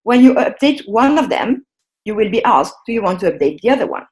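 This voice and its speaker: Woman, online workshop presenter, friendly and halting, video-call mic. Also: fairly high pitch, very animated, rough recording. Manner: hesitant, many ums and restarts, friendly instructional. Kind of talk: live online workshop on a shared screen.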